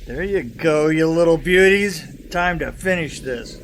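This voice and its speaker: An actor playing a sardonic old saboteur in an audio drama, dry and joking. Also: male voice, fairly high pitch, expressive, unhurried. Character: wry old male saboteur calling himself Father Time. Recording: heavy background noise.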